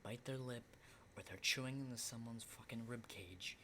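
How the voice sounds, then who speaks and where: A man playing an unsettling, lonely, hardened apocalypse survivor in an ASMR roleplay, speaking in a halting, breathy whisper and soft voice. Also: medium pitch, slight background noise, close to an everyday voice. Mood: fearless, reassuring. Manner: hushed, halting, repeats words, mild swearing.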